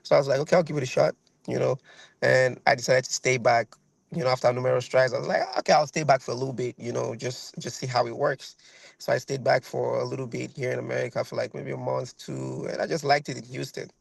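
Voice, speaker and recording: A Nigerian man in his thirties talks over a video call, rambling with fillers and repeating himself as he tells his story. His voice is heavily gravelly, with a flat, even melody. The sound is dry and close. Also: medium pitch, quick pace, no room sound. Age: 30s. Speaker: guest violinist.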